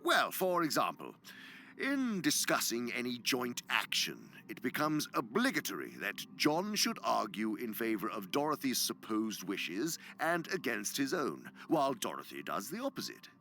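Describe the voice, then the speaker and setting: Gravelly, theatrical demon mentor. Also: male voice, mid-range voice, wildly swooping pitch, moderate pace, bright, forceful tone, slight background noise, full character voice. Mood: smug relish. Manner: pompous, dramatic, savoring words, dropping into whispers. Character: gloating, sly senior male demon mentor. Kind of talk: theatrical radio drama monologue.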